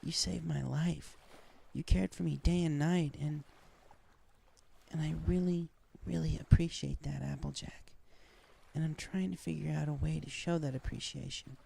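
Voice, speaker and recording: A man's voice: a little high, steady tone, moderate pace, gravelly, mellow, slightly rough, voice pushed up, heavily acted. A man voicing a flustered, lovestruck young female pegasus pony who speaks earnestly and hesitantly in a story reading. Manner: hesitant, stammering. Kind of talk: fan fiction story reading.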